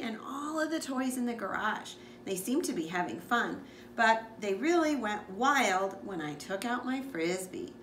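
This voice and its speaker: Animated woman reading a picture book. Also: mid-range voice. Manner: expressive, with asides to listeners. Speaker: school librarian reading aloud. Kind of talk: animated children's picture-book read-aloud. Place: living room, on a couch indoors. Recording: echoey room with background noise.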